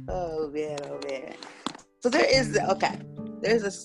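A woman hosting a podcast, relaxed and unhurried, easily amused. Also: fairly low pitch, vocal fry. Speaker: podcast host. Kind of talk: casual podcast chat.

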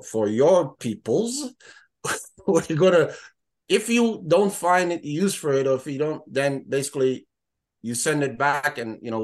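A man's voice: mid-range voice, darkish tone. A man, a fitness trainer, explaining his training program in casual podcast chat, often restarting and repeating words. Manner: halting, repeats words, easygoing. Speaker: fitness trainer and product creator.

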